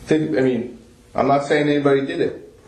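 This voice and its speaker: Echoey male interrogator, medium pitch. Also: steady tone, fairly quick, crowd noise. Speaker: police interrogator. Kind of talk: interrogation, careful persuasion. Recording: echoey, distant room audio.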